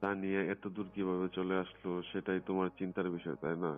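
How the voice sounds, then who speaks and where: A man's voice: low voice, level tone, quick pace. A man speaking in a private phone conversation in a coaxing, insistent manner. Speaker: phone caller in a recorded conversation. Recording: muffled lo-fi phone recording with music.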